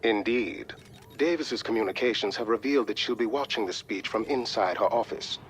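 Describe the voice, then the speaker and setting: A man playing a composed, formal mission adviser in a video game, giving measured, matter-of-fact briefings. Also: fairly low pitch, slight background noise, natural voice. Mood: composed, informative.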